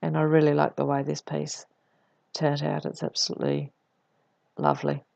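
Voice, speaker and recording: Woman with a cold doing craft voiceover, super gravelly and husky, kinda dull lo-fi sound. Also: flat delivery, normal speed, darkish tone, no echo. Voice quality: deep and husky. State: croaky from a cold. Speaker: scrapbooking vlogger. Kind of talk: relaxed voice-over narration of crafting.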